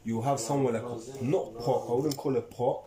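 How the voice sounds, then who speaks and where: A man's voice: mid-range voice, fairly even intonation, brisk. A young man from Turkey being interviewed, talking in a rambling way that repeats itself, in imperfect English. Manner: rambling, repeats himself, speaks broken English. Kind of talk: casual street interview. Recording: roomy with loud background noise.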